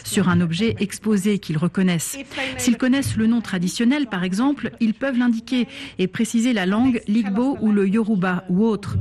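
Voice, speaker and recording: A woman's voice: mid-range voice, moderate pace, darkish tone. A woman reading the translated words of an interviewee as a news voice-over.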